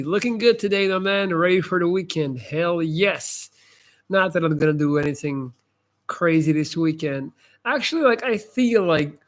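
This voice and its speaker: Belgian guy, dutch speaker but sounds american, bit higher voice, chatting casually on a live, informal and emphatic. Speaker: livestream host giving advice. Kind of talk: casual live chat, answering comments.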